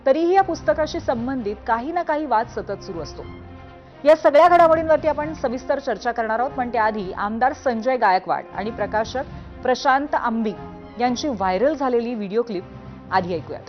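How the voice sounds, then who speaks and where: A female news anchor with a fairly high voice. She presents scripted TV news over a music bed, with slightly dull audio. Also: a little fast.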